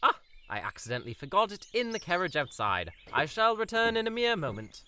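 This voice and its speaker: A man acts out a self-important young storybook prince, oblivious and grand. His bright voice swings widely in pitch as he delivers ornate, old-fashioned phrases. It is an audio drama with faint noise behind him. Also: big pitch swings, brisk, exaggerated voice. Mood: cheerful, lovestruck. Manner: pompous, flowery old-fashioned formal speech.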